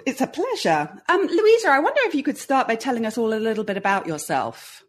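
A woman's voice: on the high side, very animated, brisk. A woman hosting a podcast, introducing and interviewing a guest.

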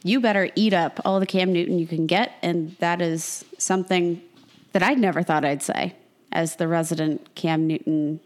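Woman podcast co-host, lower pitch, slight cold rasp, quick and self-mocking. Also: fairly even intonation. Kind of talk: casual fantasy football chat.

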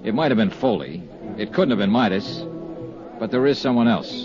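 An actor playing a tough, persistent private investigator in a 1950s radio drama, with a clipped, pressing manner. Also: male voice, on the low side, animated, brisk, natural voice. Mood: insistent. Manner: clipped, hard-boiled, pressing. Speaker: lead actor and narrator. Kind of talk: 1950s radio detective acting. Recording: muffled 1950s radio recording, music.

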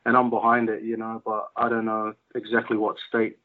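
A man's voice: on the low side, fairly quick, a little hiss or hum. Young man on a tinny phone line. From New Zealand. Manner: relaxed, loose with fillers and restarts. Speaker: rugby player guest. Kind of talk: casual interview chat.

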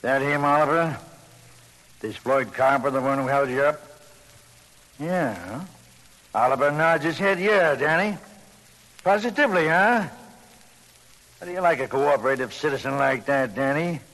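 A man's voice: medium pitch, expressive, a little slow, pauses often, a bit bright. An actor playing a boastful, over-familiar small-city policeman who mocks and prods people, in vintage radio crime drama style. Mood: goading. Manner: mocking, over-familiar, prodding. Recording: faintly noisy old radio recording.

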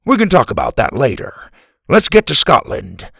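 Storybook grandfather performed by a man: elderly, excitable, eager adventurer with animated, swooping delivery. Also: medium pitch, moderate pace, gravelly, husky, no echo, studio quality, pitched above the performer's own voice, heavily acted. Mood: brisk, eager. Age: older. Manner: eager, exclamatory.

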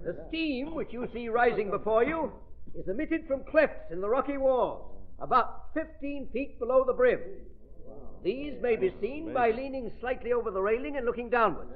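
A man's voice: very high-pitched, lively intonation, normal speed, crowd noise. An American man playing an informative, showmanlike tour guide in an old radio drama, declaiming as if to a group. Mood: grand, informative. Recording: tinny old radio recording.

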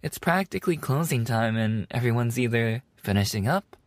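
A man's voice: medium pitch, lively intonation, normal speed, dry recording, lightly acted. A man voicing a playful, affectionate animal-boy maid café server in an ASMR roleplay, teasing and giggly in a cutesy way. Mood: clingy, affectionate.

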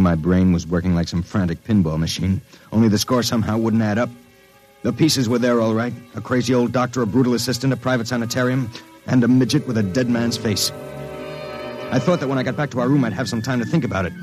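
An actor playing a hard-boiled, wisecracking investigator, narrating and acting in an old-time radio drama with terse, sardonic delivery. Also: male voice, mid-range voice, steady tone, fast, over music, close to an everyday voice. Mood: grim narration.

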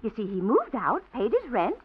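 A woman's voice: on the high side, huge pitch swings, moderate pace, breathy edge, exaggerated voice. An actress playing a blunt, grumbling working-class landlady who talks ungrammatically, in 1940s radio acting, on a muffled old radio recording.

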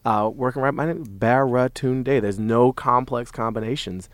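A man's voice: medium pitch, normal speed, no echo. Wry man bantering on a podcast, dry close sound. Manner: animated storytelling, wry and joking. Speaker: podcast host. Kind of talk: casual panel podcast chat.

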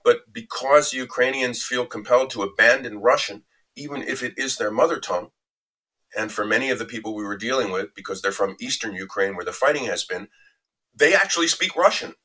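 A man answering an interview question in a reflective, anecdotal way, measured and conversational. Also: medium pitch, bright, forceful tone, rough recording. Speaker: interview guest recounting a reporting trip.

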